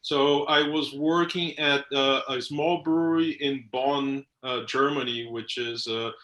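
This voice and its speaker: A man with a Brazilian Portuguese accent chats on a video call as a guest. His voice is bright and his speech halting, full of fillers. The room around him sounds echoey. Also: mid-range voice, fairly even intonation. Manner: hesitant, many fillers. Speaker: brewery owner guest. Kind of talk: casual interview over video call.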